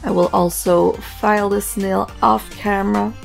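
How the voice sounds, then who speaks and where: A woman hosting a nail art tutorial, hoarse and raspy from a cold, narrating in a relaxed, chatty way with plenty of fillers. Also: medium pitch, unhurried, faint music behind. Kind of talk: casual voice-over tutorial narration.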